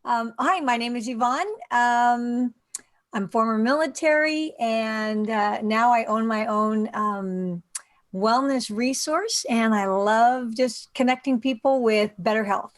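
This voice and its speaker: A woman's voice: fairly high pitch, slow. A woman playing a polite ex-military wellness business owner as a game show contestant, answering briefly. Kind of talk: improvised game show parody.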